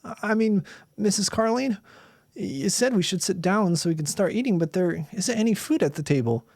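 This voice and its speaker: A man's voice: sits high, animated, moderate pace, vocal fry, darkish tone, no room sound, clean studio sound, voice pushed up, full character voice. A man voicing a nervous young boy in a storybook horror tale, stammering and hesitant.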